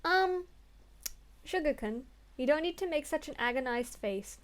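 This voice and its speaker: A woman's voice: high voice, expressive, measured pace. A young woman playing through a story game, reading the text aloud earnestly and breaking off into crude, swearing jokes.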